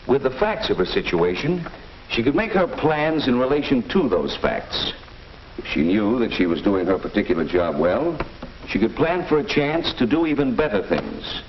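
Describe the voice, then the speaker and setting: An American man playing a kindly, patient family doctor in a 1940s instructional film, lecturing in a measured, deliberate way. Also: lowish voice. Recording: muffled, hissy 1940s film soundtrack.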